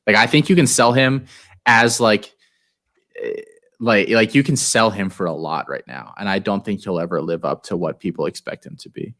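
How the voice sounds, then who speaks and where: A man's voice: fairly low pitch, normal speed, a bit bright. A man in his late twenties co-hosting a casual fantasy football podcast, laid-back and full of fillers. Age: 20s. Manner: laid-back, lots of likes. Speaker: podcast co-host.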